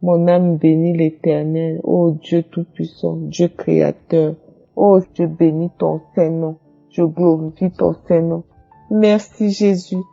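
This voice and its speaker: A woman speaks slowly with a husky, gravelly and very dark voice. She gives a fervent testimony, repeating herself and breaking into praise. The recording is dull and lo-fi, with faint music underneath. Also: low-pitched, flat delivery. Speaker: caller giving a testimony. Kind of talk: emotional spoken testimony.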